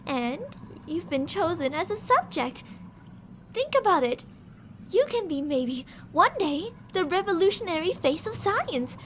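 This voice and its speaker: A woman's voice: extremely high pitch, very animated, unhurried, fairly bright tone, slight background noise, exaggerated voice, low-quality recording. A woman playing a cocky, unhinged mad scientist in an ASMR audio drama, blunt and theatrical in her boasting. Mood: gleefully theatrical.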